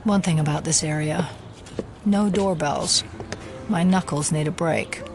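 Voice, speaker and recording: Female investigator: composed, polite, skeptical, lower-register audio drama voice with background score. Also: over music. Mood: dry, businesslike. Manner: measured, polite, matter-of-fact.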